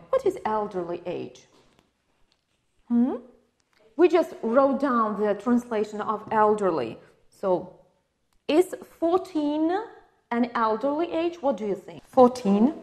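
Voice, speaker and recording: A woman with a Ukrainian accent teaching an English lesson, patiently asking questions and confirming answers. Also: a little high. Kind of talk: online English lesson for exam prep.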